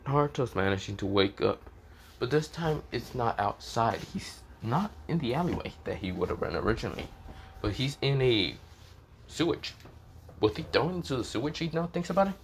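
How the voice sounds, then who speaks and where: A man who is sick and coughing, telling a fan fiction story in a rambling, halting way, often restarting with "this is where, well". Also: mid-range voice, big pitch swings, slight background noise.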